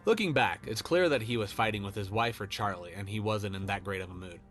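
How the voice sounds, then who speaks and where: A man's voice: fairly low pitch, fairly quick, fairly bright tone, faint music behind. A man reading his own fiction as a scripted first-person horror story narration.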